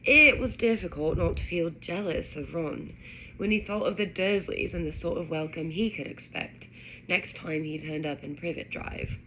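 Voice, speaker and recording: A woman putting on a British accent to read a children's storybook aloud in a measured, expressive way. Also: lowish voice, slight background noise, rough recording. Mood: storytelling. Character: British storybook narrator reading a wizard school tale. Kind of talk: scripted narration.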